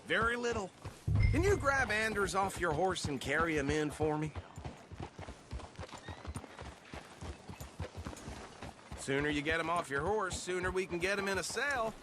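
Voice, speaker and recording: A man's voice: high voice, expressive, brisk, music in the background. An actor playing a genial, talkative Southern American lawman in a video game, folksy and courteous in manner. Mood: welcoming. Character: genial, chatty Southern lawman, proud small-town local.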